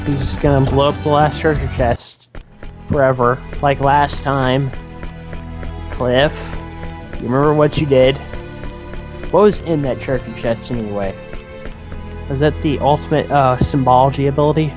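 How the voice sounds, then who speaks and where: A man's voice: medium pitch, steady tone, moderate pace, pauses often. A man chatting casually and jokingly as co-commentator on a video game. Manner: jokey. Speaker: let's play co-commentator. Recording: game music behind voice. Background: video game music.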